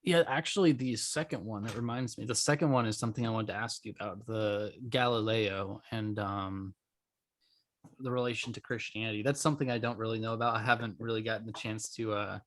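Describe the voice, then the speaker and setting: A man's voice: lowish voice, steady tone, a little fast, a bit bright. A man hosting a podcast, putting questions to a guest in a casual, conversational style. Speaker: podcast host interviewing a guest.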